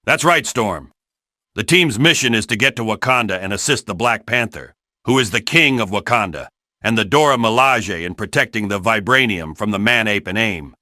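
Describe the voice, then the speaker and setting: A man's voice: fairly low pitch, lively intonation, normal speed, pushed and bright, no echo, studio quality. A man voicing a serious, authoritative commander briefing a hero team in fan-made game dialogue. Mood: commanding. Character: serious, commanding male spy chief briefing heroes.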